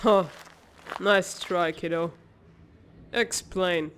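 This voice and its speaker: A woman voicing a wry, level-headed older sister in a comic dub, calmly teasing and gently scolding. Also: lowish voice, very slow. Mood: wry, stern. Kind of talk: animated comic-dub voice acting.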